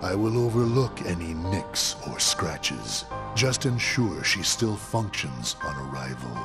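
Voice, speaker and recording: A man playing a cold, commanding leader of a sinister order in a video game, speaking in a formal, grandiose, deliberate way. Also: low-pitched, big pitch swings, music in the background, heavily acted. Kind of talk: dramatic video game voice acting.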